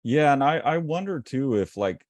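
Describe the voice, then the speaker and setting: A man's voice: medium pitch, expressive, normal speed, darkish tone, dry recording. A man co-hosting a casual art discussion, talking loosely and eagerly with many fillers and restarts. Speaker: co-host.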